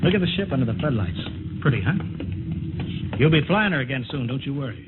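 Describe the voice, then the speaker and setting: An actor playing a brisk, skeptical male flight commander, protective of his pilot, in 1950s radio drama style. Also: mid-range voice, big pitch swings, noisy background, lightly acted. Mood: reassuring. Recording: muffled vintage 1950s radio recording.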